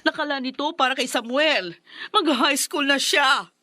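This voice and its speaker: A woman's voice: high voice, normal speed, sharp tone, no room sound, studio quality. An actress playing a distraught mother in a melodramatic radio drama. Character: distraught mother fearing gambling losses.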